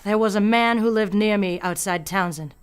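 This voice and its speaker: An actress playing a fearful but stubborn older rural woman in a radio play, speaking gravely and deliberately. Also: mid-range voice, natural voice. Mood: grave, haunted. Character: fearful, stubborn old rural woman guarding alien secrets.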